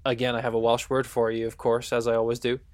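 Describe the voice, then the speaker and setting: A man's voice: medium pitch, monotone, quick pace, no echo. A man, probably in his thirties, co-hosting a podcast with casual football quiz chat. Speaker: podcast co-host.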